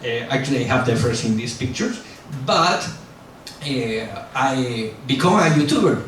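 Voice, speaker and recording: A man's voice: medium pitch, lively intonation, a little slow, fairly bright tone. Blunt man rambling in an echoey hall. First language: not English. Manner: loose, rambling, with many fillers and self-corrections; blunt and self-mocking. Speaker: guest speaker at a university lecture. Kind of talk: casual lecture talk with Q&A. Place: large echoey lecture hall. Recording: very echoey hall, distant mic, faint hiss.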